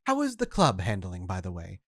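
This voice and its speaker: A storyteller doing a soft, low-voiced vampire elder. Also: huge pitch swings, fairly quick, no echo, exaggerated voice. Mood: courteous. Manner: patronizing, soft-spoken. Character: condescending genteel male vampire elder.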